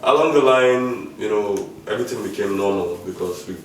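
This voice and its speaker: A man's voice: fairly low pitch, moderate pace, slight background noise. A young man from Nigeria explaining things steadily, with a habit of saying "you know". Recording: very echoey room, distant mic.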